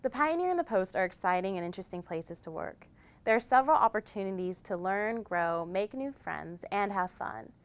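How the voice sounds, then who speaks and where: A woman's voice: mid-range voice, lively intonation, fairly quick. A young woman, a student newspaper editor, giving a scripted promotional presentation. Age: young adult.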